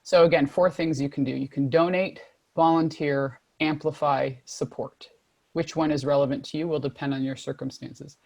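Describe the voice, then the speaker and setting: A woman's voice: low voice, darkish tone, no echo. A woman moderating a panel over a video call, walking through slides in an organized, directive way. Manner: organized, directive, listing points. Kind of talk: presentation with slides.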